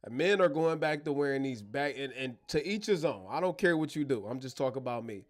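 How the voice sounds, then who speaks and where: A man's voice: medium pitch, animated, fairly quick. Slangy, joking man hosting a livestream. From born in Germany, American. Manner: slangy, joking, addresses chat directly. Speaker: livestream host. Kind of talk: casual live Q&A chat. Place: bedroom studio at home. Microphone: wireless clip-on mic.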